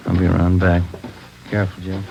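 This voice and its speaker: Brief: deep, terse cop partner, loyal, muffled old radio sound. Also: male voice, completely flat delivery, a little fast, creaky, a little mellow, slight background noise. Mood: steady. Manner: terse, matter-of-fact. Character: steady loyal police partner. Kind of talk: 1940s radio acting. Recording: dull old radio recording.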